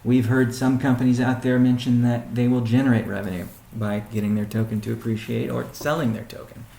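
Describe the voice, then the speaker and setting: A man speaking as a chief executive on a conference call, answering questions in a halting, deliberate way with pauses and restatements, in a roomy recording. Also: mid-range voice, level tone, gravelly, dark tone. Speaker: company CEO hosting a weekly conference call. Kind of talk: question-and-answer business briefing. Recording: roomy, echoing sound.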